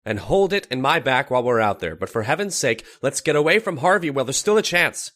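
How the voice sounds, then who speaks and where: A man's voice: mid-range voice, animated, quick pace, a bit bright, close to an everyday voice. A man playing a controlled, insistent doctor in an old-time radio style horror drama, speaking pointedly and with urgency. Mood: urgent. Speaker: actor in an audio drama.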